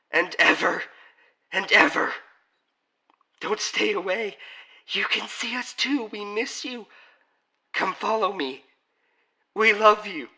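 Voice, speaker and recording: A man's voice: sits high, sharp tone, voice pushed up, exaggerated voice, rough recording. A man voicing the yearning ghosts of a wife and child in a horror story, pleading and luring in a repetitive chant with music behind.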